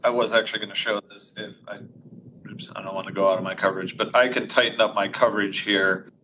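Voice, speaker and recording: A man with a loud voice giving a live technical demo of conferencing equipment in a conference room, explaining each step. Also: lowish voice, steady tone, fast, slight background noise, rough recording. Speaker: audio installer demonstrating conferencing setup.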